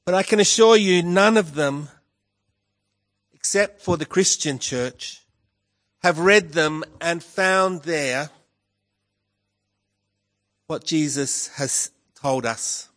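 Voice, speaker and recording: A pastor delivering a sermon in a croaky voice, measured and emphatic, rising on key words. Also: male voice, fairly high pitch, a bit bright. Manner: slow, measured preaching with emphatic rises. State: hoarse, croaky voice with a cough.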